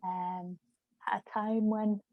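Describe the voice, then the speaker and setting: A woman's voice: mid-range voice, flat delivery, takes it slowly, no room sound. A woman writer chatting casually and informally, piecing her thoughts together in short phrases. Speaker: writer, discussion participant. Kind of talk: casual conversational book chat. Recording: slightly dull, lo-fi audio.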